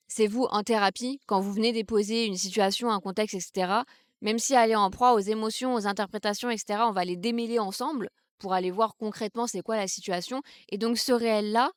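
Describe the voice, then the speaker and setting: A young woman from Brittany, France, speaks in a smooth, clear voice, thinking aloud and often pausing mid-sentence. The sound is close and dry, with no room around it. Her pitch sits in the middle for a woman. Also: dry recording. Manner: thoughtful, hesitant, many fillers and restarts. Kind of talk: casual reflective vlog talk. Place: outdoors in the countryside, seated on a wooden stool.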